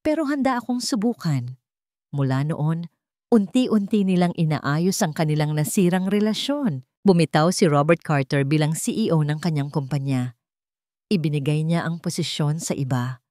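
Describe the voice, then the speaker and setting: A woman's voice: deep voice, normal speed, dark tone, no echo, studio quality. A woman narrator reading a scripted, dramatic story.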